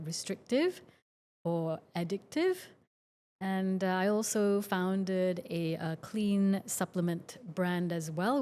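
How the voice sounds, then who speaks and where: Chatty woman doctor, slightly low voice. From Singapore. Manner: chatty, relaxed, frequent fillers. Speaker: guest, functional medicine doctor. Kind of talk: casual interview talk.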